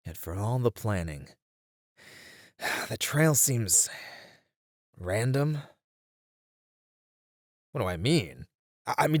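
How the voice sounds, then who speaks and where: A man's voice: lowish voice, no echo, studio quality, exaggerated voice. A man playing a weary, frustrated detective in an ASMR roleplay, talking conversationally to a partner and thinking aloud. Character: weary, frustrated male detective venting about a serial case. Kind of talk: ASMR roleplay acting, spoken to an unheard partner.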